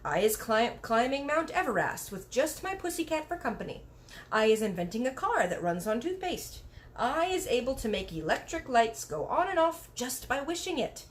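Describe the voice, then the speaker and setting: A woman voicing an excitable little boy who speaks in childlike broken grammar, in a storybook read-aloud. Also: on the high side, normal speed. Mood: proud. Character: excitable little boy telling fanciful dreams.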